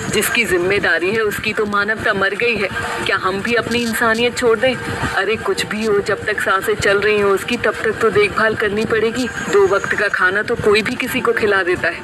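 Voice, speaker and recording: A woman's voice: medium pitch, fairly even intonation, moderate pace, noisy background, close to an everyday voice. A woman playing an anxious, caring village woman in an emotional, dramatic horror audio story. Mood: weary, caring. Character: anxious, caring village women: old bedridden mother and her scolding sister.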